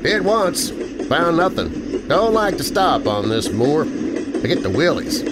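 Rough, jittery train engineer in a storybook, played by a man, with a raised pitch. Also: sing-song, slow pace, very noisy. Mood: excited. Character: excited male train engineer, older working man.

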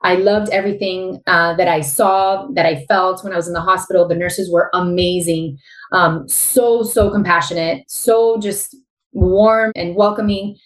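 A woman's voice: on the low side, steady tone, a little slow, roomy sound, rough recording. A woman in her thirties with a Miami accent, a guest telling her story openly in a casual interview. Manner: open, candid storytelling.

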